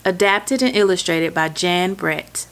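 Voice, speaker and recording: A woman reading a children's bedtime story aloud, with expressive, deliberate phrasing aimed at young listeners. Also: lowish voice.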